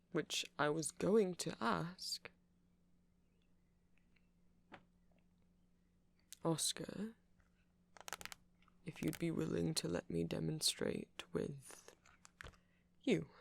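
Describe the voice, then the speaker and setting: Man playing a nerdy, creaky-voiced, awkward vampire. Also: fairly high pitch, no room sound, full character voice. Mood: awkward, explanatory. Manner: hesitant, rambling, apologetic. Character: nerdy, awkward, affectionate male vampire tech enthusiast. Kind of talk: ASMR roleplay.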